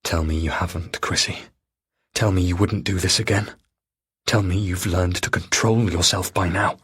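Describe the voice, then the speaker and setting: A man's voice: low-pitched, fairly even intonation, moderate pace, a bit bright, husky, no echo, studio quality, exaggerated voice. A man voicing a tense, controlling husband and father, terse and insistent. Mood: tense, demanding.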